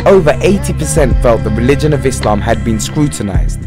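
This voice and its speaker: A young man narrating a documentary, reading survey figures in a measured way. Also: medium pitch, animated. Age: young adult. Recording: voice-over with clear music behind.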